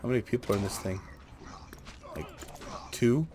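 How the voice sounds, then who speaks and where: A man's voice: on the low side, normal speed. A man giving casual gameplay commentary in an offhand, muttering way. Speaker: gaming streamer. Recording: constant game noise behind. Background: fantasy video game sounds.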